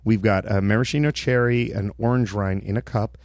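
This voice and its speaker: A man with a low voice, co-hosting a podcast and rambling through his stories. He often restarts his sentences partway through. His voice sounds dry and close. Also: fairly even intonation, a little fast, a little mellow, no room sound. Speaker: podcast co-host. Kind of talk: casual chat.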